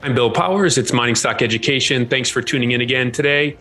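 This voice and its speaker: A man's voice: medium pitch, fast, pushed and bright, soft background music. A man hosting an interview show, welcoming his guest and asking questions in a friendly, conversational way. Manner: friendly, conversational, some fillers. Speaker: podcast host.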